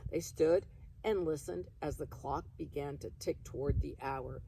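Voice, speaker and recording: A woman reading a children's story aloud in an expressive storytelling style. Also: low-pitched, measured pace, creaky, far from the mic, slight background noise, low-quality recording. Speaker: reader of a children's book.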